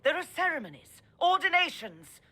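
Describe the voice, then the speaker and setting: A woman's voice: very high-pitched, very animated, moderate pace, sharp tone, full character voice. A woman playing an insistent, formal priestess in a fantasy video game, speaking in an urgent, official way. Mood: pressing. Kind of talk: dramatic game dialogue.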